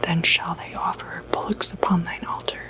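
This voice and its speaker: A woman playing a gentle, reassuring doctor who treats demonic possession, in a hushed, soothing ASMR medical roleplay. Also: natural voice. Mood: solemn, prayerful. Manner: hushed, unhurried, hesitant, soothing.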